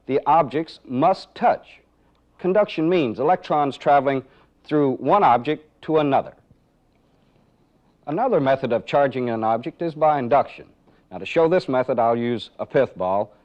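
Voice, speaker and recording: A man's voice: mid-range voice, lively intonation, moderate pace, darkish tone. A man giving methodical instructional narration as a training film instructor, explaining step by step and posing rhetorical questions. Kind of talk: instructional narration with live demonstration. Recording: dull, band-limited 1980s training film audio.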